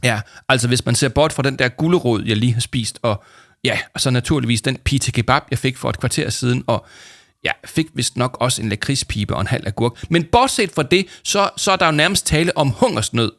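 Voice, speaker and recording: A man voicing a big, self-important adult man who is always hungry and shouts his announcements with great solemnity. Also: lively intonation. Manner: booming, dramatically solemn shouting.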